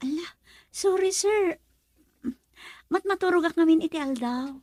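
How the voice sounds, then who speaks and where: An actress playing a young woman who works at a club, asking her father lively questions. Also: very high voice, a little slow, no echo, clean studio sound. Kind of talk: radio drama acting.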